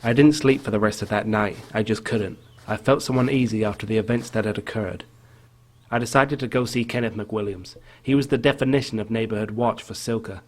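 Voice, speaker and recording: A man's voice: on the low side, brisk, darkish tone, lightly acted. A man acting as an uneasy, haunted game protagonist, narrating his own mystery story in scripted first person. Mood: uneasy narration. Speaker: narrator and main character of a game.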